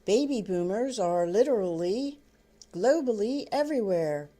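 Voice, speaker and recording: Older woman, author narration, storybook reading, unhurried pace, warm mellow timbre, halting deliberate phrasing. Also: mid-range voice, lively intonation. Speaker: author reading own book.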